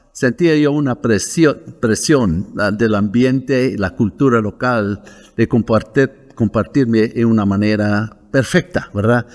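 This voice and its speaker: An older man from Wisconsin with an American English accent, a missionary guest who recounts his personal testimony in a measured way, pausing often with fillers. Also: fairly low pitch, a little mellow, long reverb tail. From United States (Wisconsin).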